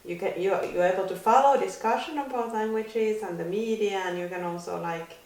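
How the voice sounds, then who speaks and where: A woman leads an interview with soft, rambling questions full of fillers. Her voice is distant from the mic and rings in an echoey room. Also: mid-range voice, fairly quick. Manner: gentle, filler-heavy questioning.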